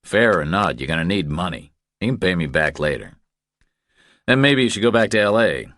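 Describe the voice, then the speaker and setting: A man's voice: sits low, big pitch swings, moderate pace, fairly bright tone, dry recording, clean studio sound. A man playing a blunt, practical tough drifter in audiobook dialogue, terse and matter-of-fact. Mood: urging, practical.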